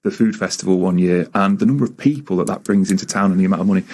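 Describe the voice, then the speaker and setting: A man's voice: sits low, racing, a little mellow. Earnest Manchester man in his thirties. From England, Greater Manchester. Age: 30s. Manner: earnest, talkative, frequent restarts. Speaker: local councillor guest. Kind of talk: radio interview. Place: radio studio.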